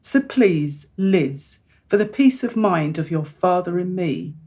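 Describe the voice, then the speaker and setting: An actress plays a middle-aged mother in a radio drama. Her voice is low and husky. She is earnest and insistent, measured but exasperated with her family. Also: animated, warm tone, exaggerated voice. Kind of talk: radio play acting.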